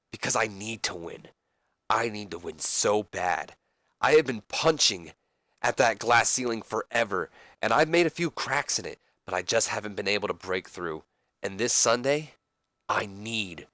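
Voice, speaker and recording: A man's voice: fairly low pitch, a bit bright, no echo. Man acting a frustrated, hungry wrestler, dry sound. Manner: insistent, exasperated promo delivery. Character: frustrated, hungry male wrestler desperate to break through.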